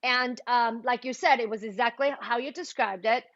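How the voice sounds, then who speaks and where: A woman hosting a live interview, chatty and lively, whose first language is Taiwanese, talking over a video call. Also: a little high, moderate pace, no room sound. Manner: chatty, lively, code-switching. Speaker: host. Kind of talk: casual interview chat. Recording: muffled lo-fi stream audio.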